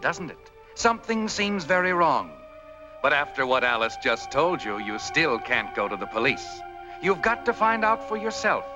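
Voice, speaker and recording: An actor voicing an insistent, dramatic narrator in a 1940s mystery film, speaking straight to the hero. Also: male voice, mid-range voice, lightly acted. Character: urgent, brooding male narrator urging the hero on. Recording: dull old film soundtrack.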